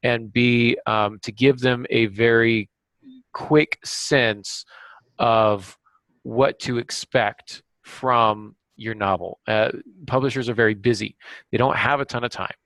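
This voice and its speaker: A man's voice: mid-range voice, steady tone, slow, fairly bright tone, no echo, rough recording. A man hosting a podcast, summarizing and explaining in a conversational interview style. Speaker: podcast host.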